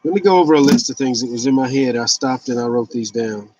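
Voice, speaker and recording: A man's voice: medium pitch, fast, a little mellow, no room sound, dull audio. A man in his fifties talking casually and candidly in a rambling, blunt, confessional personal vlog. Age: 50s. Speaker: vlogger sharing fasting and fitness journey.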